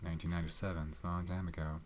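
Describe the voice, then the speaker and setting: Very low-voiced soft-spoken man. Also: flat delivery, racing, noisy background. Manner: rambling, many fillers, soft-spoken with some whispered words. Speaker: ASMR channel host. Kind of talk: soft-spoken ASMR movie chat. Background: tapping and keyboard clicks.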